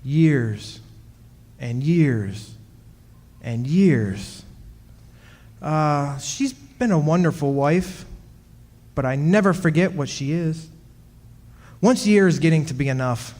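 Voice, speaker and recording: A man's voice: fairly high pitch, animated, darkish tone. Man acting a wry ageless husband, echoey hall. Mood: wry, reminiscing. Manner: wry, reminiscing, measured storytelling. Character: centuries-old henpecked husband of a witch, wry storyteller. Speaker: actor and narrator in a radio play. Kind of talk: dramatic first-person radio play narration. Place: stage performance in a community club hall. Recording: echoey hall, music behind.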